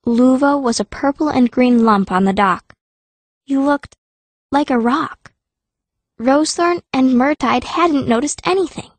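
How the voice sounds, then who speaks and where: A fierce, earnest young girl narrates an audiobook in the first person. Her voice sits fairly high, in a dry, close recording. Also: measured pace, no room sound. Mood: tense, sad.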